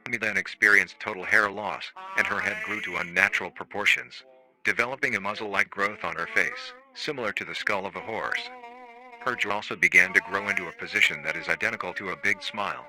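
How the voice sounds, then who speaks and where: A man playing a formal, matter-of-fact research-facility narrator on an analog horror staff training tape, calmly chilling and euphemistic. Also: fairly low pitch, brisk, a crowd in the background, rough recording. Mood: clinical, detached.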